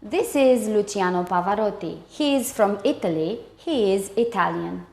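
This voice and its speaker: A woman's voice: medium pitch, animated, moderate pace, gravelly, darkish tone. A woman with a Romanian accent co-presenting a scripted English lesson, giving short model sentences and answers. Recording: echoey, roomy sound.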